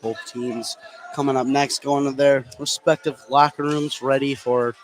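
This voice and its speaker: A male color commentator analyzes live basketball for radio. He speaks at an average pitch in a conversational way, explaining how the game is going. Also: flat delivery, measured pace, soft background music, poor audio. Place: indoor basketball gymnasium.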